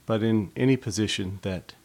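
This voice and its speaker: A man leading a guided body scan meditation, speaking calmly in short, unhurried phrases with long pauses. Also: on the low side.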